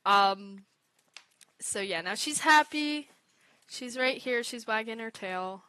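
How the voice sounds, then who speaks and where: A woman's voice: on the high side, lively intonation, slow, bright, forceful tone, clean tone, no room sound, low-quality recording. A young woman streamer chatting casually to her viewers while playing a game, giggly and a bit self-conscious. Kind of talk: casual live gameplay chat.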